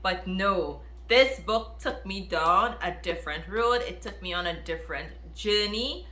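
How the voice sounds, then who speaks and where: A woman talks casually to her viewers in the style of a book review. Her voice is bright and forceful, and she is expressive, often repeating phrases to drive them home. The recording is roomy, with noticeable echo. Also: mid-range voice, measured pace. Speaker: book reviewer, video host. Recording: roomy, echoey room.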